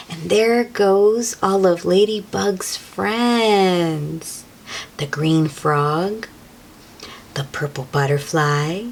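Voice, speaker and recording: A woman reading a picture book aloud to young children in an encouraging, sing-song manner, as the host. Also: medium pitch. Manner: animated, encouraging, sing-song talk addressed to small children.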